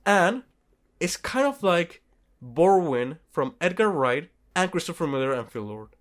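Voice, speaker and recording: A man's voice: a little high, sing-song, a little slow. A man co-hosting a podcast, talking casually about movies in an informal, sweary, self-correcting way. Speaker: podcast co-host. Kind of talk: casual movie review chat.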